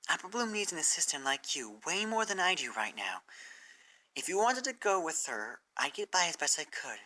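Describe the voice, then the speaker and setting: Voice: mid-range voice, sing-song, moderate pace, sharp tone, voice pushed up, poor audio. A reader voicing an earnest, caring young adult female pony in a fan fiction story. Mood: warm, persuasive. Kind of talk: fan fiction character reading.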